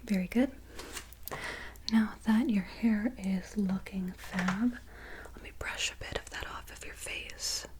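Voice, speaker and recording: A woman speaking in a very soft, breathy whisper, gently and soothingly reassuring as in an ASMR personal-attention roleplay. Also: medium pitch, slow. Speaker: ASMR creator playing a barber.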